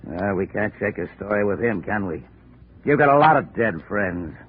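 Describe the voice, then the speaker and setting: Guy as a deep-ish sarcastic 40s radio cop lieutenant, doubts everything, tinny old recording. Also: animated, moderate pace, slight background noise, natural voice. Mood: skeptical. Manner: dry, sarcastic. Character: skeptical, dry male police lieutenant. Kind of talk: 1940s radio detective drama acting.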